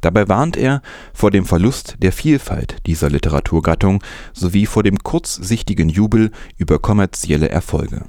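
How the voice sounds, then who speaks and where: Male news host, low and warm voice, dry close recording, scripted delivery with commentary. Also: lively intonation, moderate pace, no echo.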